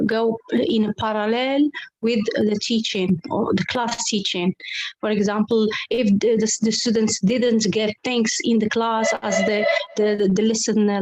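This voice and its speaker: A woman's voice: mid-range voice, darkish tone, poor audio. A woman from Morocco, an English teacher speaking on an online round table, hesitant and full of fillers and restarts. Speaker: English teacher and club coordinator.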